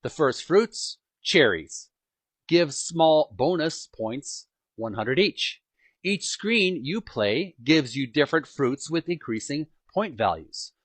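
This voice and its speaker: Man reading aloud with very animated pitch. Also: slow pace, dry recording. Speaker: host reading a book aloud. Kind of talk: scripted book narration, amateur read-aloud. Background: music.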